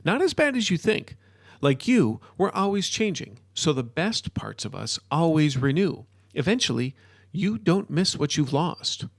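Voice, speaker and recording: A man acting out an excitable, gravel-edged modern-day wizard. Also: a little high, measured pace, no room sound, clean studio sound, voice pushed up, exaggerated voice. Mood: earnest explaining. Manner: animated, emphatic lecturing.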